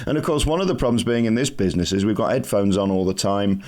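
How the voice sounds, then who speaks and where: A man's voice: lowish voice, lively intonation, fast talker, darkish tone. A man hosting an interview, chatty and teasing, with frequent agreeing murmurs. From England. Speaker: interviewer, radio host. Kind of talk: casual interview chat.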